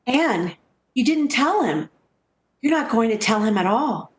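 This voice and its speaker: Echoey, dramatic actress as anguished 1940s radio friend. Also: female voice, on the high side, big pitch swings, measured pace, slight background noise. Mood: dismayed. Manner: dramatic, emphatic. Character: Alice, anguished woman friend haunted by a murder. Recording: roomy echo, music behind. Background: music.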